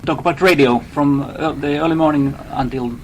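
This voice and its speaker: A man's voice: on the high side, fairly even intonation, moderate pace, darkish tone, a little hiss or hum. A man from Finland, a guest in a radio interview, speaking hesitantly with many fillers. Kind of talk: casual interview.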